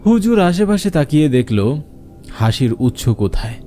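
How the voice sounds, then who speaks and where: A man's voice: a little high, sing-song, normal speed, warm tone, faint music behind. A man narrating a scripted horror story for a cartoon.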